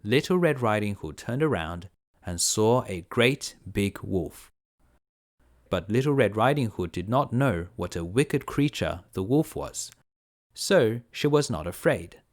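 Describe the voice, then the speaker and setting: A man narrating a children's fairy tale as a bedtime storyteller, in a measured, simple delivery. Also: lowish voice, animated.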